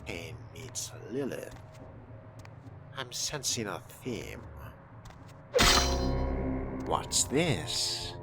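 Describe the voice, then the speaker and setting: A man's voice: low voice, big pitch swings, very slow, long pauses, heavy background noise. A man giving in-character game commentary in a haughty, drawling manner with formal diction. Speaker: player voicing his own vampire character in a roleplay playthrough.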